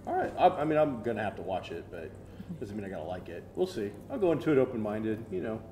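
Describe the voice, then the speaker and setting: A man's voice: fairly low pitch, very animated, fast, dark tone. An American man in his forties talks casually and gives his opinions with a jokey edge. The room is echoey, with a faint layer of noise behind him. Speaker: co-host of a geek culture show. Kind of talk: casual opinionated panel chat. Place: indoor room set, seated around a table. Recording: roomy sound, faint noise.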